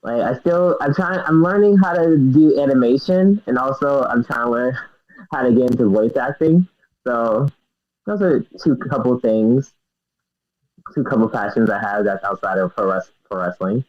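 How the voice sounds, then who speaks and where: A young man answers interview questions in a hesitant, giggly way, often pausing on fillers before he settles on an answer. His pitch is middling, and the recording sounds dull and lo-fi. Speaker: guest wrestler. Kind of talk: casual interview.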